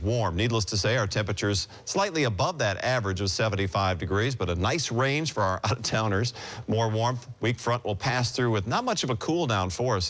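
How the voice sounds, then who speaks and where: A man's voice: fairly low pitch, a bit bright. An American man presenting an ad-libbed TV weather forecast.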